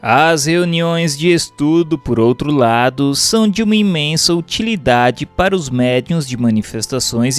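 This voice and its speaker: A man with a Brazilian accent reads aloud as a scripted audiobook narrator, in a formal, measured, didactic manner. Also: a little high. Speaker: narrator reading a spiritist book aloud.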